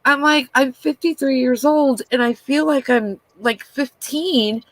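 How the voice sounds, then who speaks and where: A bubbly woman in her fifties who talks in a high voice and takes her time with each thought. The sound is dry and close, with no room around it. She chats as a guest and laughs at herself. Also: measured pace, no echo, rough recording. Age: 50s. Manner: bubbly, chatty, self-deprecating. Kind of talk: casual chat on a live stream.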